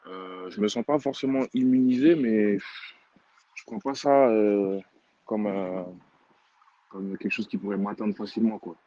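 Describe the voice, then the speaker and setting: A man's voice: on the low side, fairly even intonation, measured pace, mellow. Casual student in his twenties, dull audio. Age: 20s. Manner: casual, trailing off, many fillers. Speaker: student worker. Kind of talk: personal testimony.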